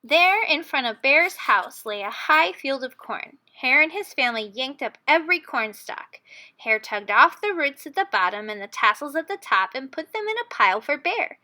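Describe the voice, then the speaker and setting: A woman storyteller reading a children's picture book aloud in an expressive, dramatic way. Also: high-pitched, normal speed.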